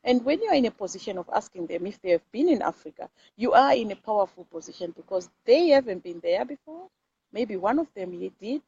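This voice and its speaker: A woman's voice: fairly high pitch, a little mellow. A woman with a Zimbabwean accent gives an informal motivational talk to camera, speaking emphatically and repeating phrases to press her points. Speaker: host of live videos. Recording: dull lo-fi audio with clear background noise.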